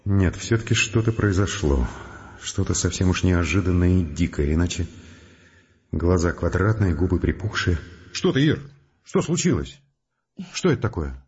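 An actor playing an overwrought, frightened scientist and loving husband in a Russian audio play, muttering agitatedly in short bursts. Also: male voice, deep voice, very animated, normal speed, darkish tone. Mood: baffled, alarmed, then startled. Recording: muffled studio audio play.

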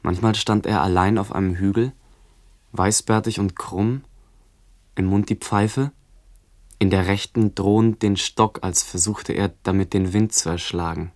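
A man acts a narrator reliving his country boyhood at the end of a war. The voice is fairly low and the delivery deliberate and descriptive, in the manner of a literary radio play. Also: fairly even intonation, close to an everyday voice. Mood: grave, remembering.

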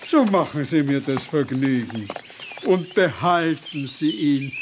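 Jovial count, unhurried, over noise. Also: male voice, a little high, animated. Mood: jovial, generous. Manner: hearty, formal old-fashioned phrasing. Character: jovial, generous aristocratic host, a count. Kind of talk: German radio drama acting.

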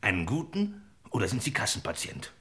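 A man's voice: fairly low pitch, huge pitch swings, fairly bright tone. An actor playing an honest, officious village policeman, short-winded and pedantic, in an old crime radio play. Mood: officious, reproachful. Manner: pedantic, fussy, drawn-out phrases. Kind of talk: old German radio crime parody acting.

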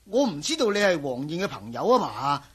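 An actor playing a testy, evasive male professor in a radio drama, raspy and blustering, speaking Cantonese with a Hong Kong accent. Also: a little high, very animated, fairly quick. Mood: alarmed, indignant. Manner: blustering, stammering.

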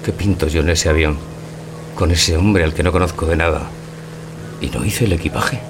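A man's voice: steady tone, normal speed. A man from playing a bewildered, wounded lover in a radio theatre parody of a classic film. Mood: hurt. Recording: clear background hum and rumble.

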